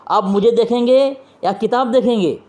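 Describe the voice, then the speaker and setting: A man's voice: extremely high pitch, moderate pace, a little mellow. A man interviewing and debating religion on a phone call, pressing his questions insistently and quoting from books. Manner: quotes from books and scripture.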